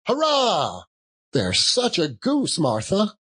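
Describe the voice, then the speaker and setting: Guy doing excited little kids from a storybook, high and swoopy. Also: takes it slowly, breathy edge, heavily acted. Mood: gleeful excitement. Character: excited shrieking young Cratchit children.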